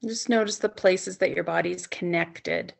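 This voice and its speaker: Female meditation guide for bedtime yoga nidra: lowish pitch, very strong vocal fry, soothing and unhurried, with long pauses. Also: fairly even intonation. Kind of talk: guided sleep meditation, softly spoken instruction.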